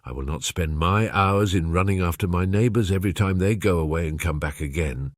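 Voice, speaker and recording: Man playing a deep-voiced, stubborn older gentleman. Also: brisk, no room sound, clean studio sound, close to an everyday voice. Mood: curt, irritated. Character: stubborn, dry, irritable older gentleman husband. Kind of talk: dramatized audiobook character acting.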